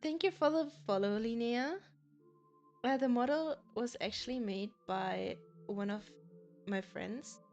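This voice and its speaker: A German-accented woman with a fairly high voice chats and giggles with her viewers at a slow, easy pace. Soft music plays faintly behind her. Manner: giggly, chatty, often laughs between sentences. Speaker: game streamer. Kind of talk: casual live-stream chat with viewers.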